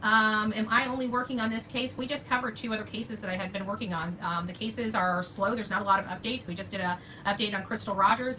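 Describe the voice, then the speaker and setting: A woman's voice: medium pitch, bright, forceful tone, a little hiss or hum, low-quality recording. An older woman hosting unscripted opinion commentary, emphatic and repeating key phrases for stress. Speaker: true-crime commentary host.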